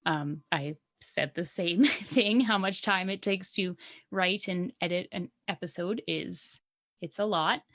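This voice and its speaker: A woman's voice: on the low side, a little slow, fairly bright tone. A woman with a Canadian accent co-hosting a podcast in casual chat, giggly and self-deprecating. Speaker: podcast co-host.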